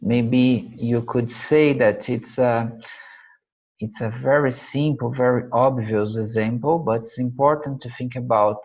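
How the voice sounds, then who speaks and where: A man from Brazil with a Brazilian Portuguese accent, a native Portuguese speaker teaching math over slides, halting and searching for his English words with frequent fillers. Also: mid-range voice, a little mellow, muffled recording. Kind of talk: slide-based math lesson.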